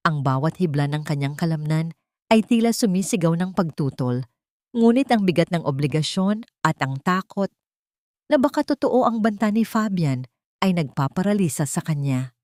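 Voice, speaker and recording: A woman's voice: lowish voice, lively intonation, moderate pace, a little mellow, dry recording, studio quality. A woman narrating a dramatic story in a solemn, literary storytelling manner.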